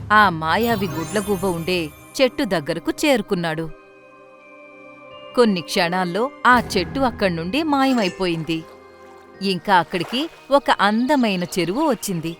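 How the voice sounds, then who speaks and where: A woman's voice: mid-range voice, fairly bright tone. A woman reading scripted narration for a children's cartoon story. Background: light background music.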